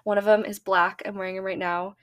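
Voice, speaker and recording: A woman's voice: medium pitch, little pitch movement, quick pace, fairly bright tone. A young woman hosting a podcast who talks in a chatty, upbeat way and uses lots of fillers. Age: young adult. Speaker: podcast host. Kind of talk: casual podcast monologue.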